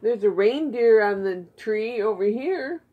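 A woman with a fairly high, slightly rough voice chats in a homemade puppet show. She keeps to short, simple questions and praise, as one would with a child. She is recorded on a phone in a living room. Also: animated, unhurried, highs cut off. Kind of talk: casual encouraging chat with a puppet partner. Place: living room beside a Christmas tree at home. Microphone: phone camera a short way off.

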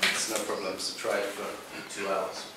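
A lower-voiced man with a British English accent leads a small live workshop, joking and direct in his delivery. He is heard from across an echoey room over steady background noise. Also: moderate pace. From England. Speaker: workshop leader. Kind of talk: lively workshop talk with guided exercises. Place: small cafe room, indoors, live audience. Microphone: far camera. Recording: echoey room from far off, noisy.

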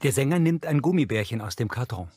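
A man's voice: medium pitch, animated, quick pace, a little mellow, a little hiss or hum. A man reading scripted audio description, narrating what happens in each scene. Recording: music under the voice.

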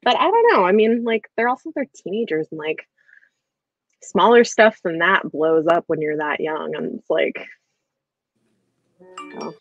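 Lower-pitched woman chatting, dull call audio. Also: very animated, measured pace, pauses often, no echo. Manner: hesitant, rambling with frequent "like". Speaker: book discussion host. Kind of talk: casual livestream book chat. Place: small room at home, video call. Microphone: earbuds on a video call.

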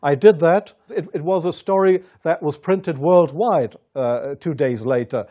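A middle-aged man with a German accent, a native German speaker, gives interview testimony in halting English, repeating words. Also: a little high, warm tone, lo-fi sound. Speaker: journalist interviewee.

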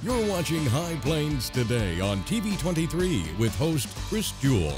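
Announcer guy doing a station promo, polished, big swoopy delivery, loud guitar music under it. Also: fairly high pitch, very animated, a little slow. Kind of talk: announcer voice-over.

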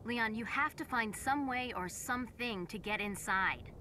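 A brisk mission support officer in a video game, with a bright, forceful voice. She is wry and directive, with some noise on the audio. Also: fairly high pitch.

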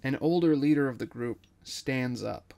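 A man narrates a scripted story, reading out scene directions. His voice is mid-pitched with noticeable fry. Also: moderate pace, mellow.

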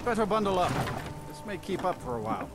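A man's voice: high-pitched, very animated, fast, loud music behind the voice. A man playing a folksy, talkative carriage driver in a video game. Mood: chatty. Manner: chatty, reminiscing.

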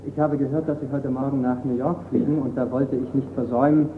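An actor playing a shrewd young sleuth with a dry, polite, teasing manner in a radio crime drama. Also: male voice, medium pitch, flat delivery, fast talker, natural voice. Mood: coolly triumphant. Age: young adult. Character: shrewd, coolly ironic young amateur sleuth. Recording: muffled phone-like radio recording, clear noise.

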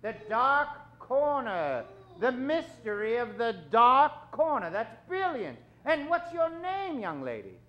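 A man's voice: extremely high pitch, very animated, takes it slowly. Theatrical old-time radio host, man, muffled stage sound. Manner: theatrical, hesitant, courteous showman patter. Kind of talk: old-time radio announcer hosting live improv. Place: theater stage before a live audience. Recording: dull, lo-fi live stage recording.

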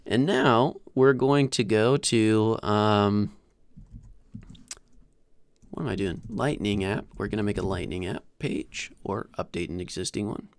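A man in his thirties narrating a casual software tutorial in an informal, halting, self-deprecating way, close on a desktop microphone. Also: lowish voice, dry recording. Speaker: host of a software tutorial channel. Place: small indoor room at home. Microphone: desktop microphone close to the mouth.

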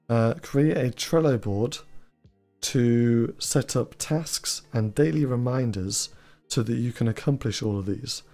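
A young man, hosting a trading advice video in a small room and talking in a flat, rambling way with fillers. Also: medium pitch. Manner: self-described monotone, rambling with fillers. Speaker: trading channel host. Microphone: studio mic on boom arm, headphones.

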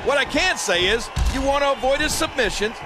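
A man voicing the color commentator in a wrestling video game, reacting excitably and shouting out reversals. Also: very high-pitched, brisk, over music. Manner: excitable, exclamatory. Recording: game audio over loud crowd noise. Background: roaring arena crowd.

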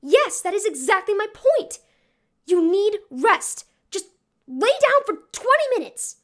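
A worried teenage girl in a comic dub, voiced by a woman. Her voice is very high, and she speaks in an insistent, exasperated, scolding way. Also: very animated, a little slow, exaggerated voice. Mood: exasperated concern.

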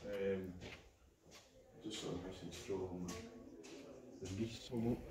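A man's voice: deep voice, steady tone, takes it slowly. An older man chatting casually as he tours an old manor house with family, musing and adding tag questions. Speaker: father on a family outing. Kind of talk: casual chat while touring. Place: rooms of a large old manor house, indoors. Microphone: phone camera held by companion. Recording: noisy handheld phone audio.

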